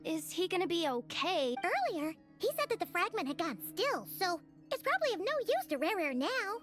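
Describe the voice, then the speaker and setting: A woman's voice: extremely high pitch, big pitch swings, normal speed, a bit bright, faint music behind, full character voice. A woman voicing a small, childlike fairy companion in an anime game, chatty, curious and given to hesitant, chirpy stammering. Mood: wondering.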